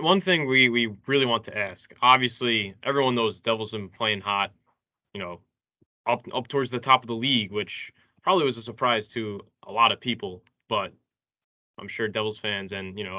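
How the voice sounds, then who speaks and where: A man hosting a sports interview, asking casual questions haltingly and repeating phrases as he goes. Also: lowish voice, sharp tone. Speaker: sports podcast host.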